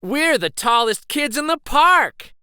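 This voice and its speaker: A man's voice: extremely high pitch, lively intonation, takes it slowly, bright, forceful tone, dry recording, studio quality, voice pushed up, full character voice. A man voicing a proud, loyal young girl in a children's storybook.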